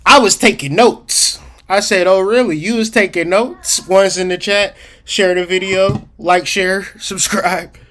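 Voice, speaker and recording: A man hosting a casual livestream about psychology, streetwise and slangy, talking straight to his chat and slowing down to stress key points. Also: high voice, steady tone, pushed and bright. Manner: emphatic, slows down to stress points. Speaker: livestream host.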